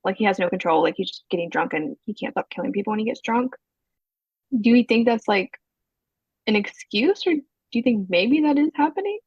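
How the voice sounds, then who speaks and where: A woman's voice: medium pitch, expressive, brisk, darkish tone, slightly rough, dry recording. A woman hosting a podcast, musing in casual discussion, recorded with dull audio. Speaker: podcast host.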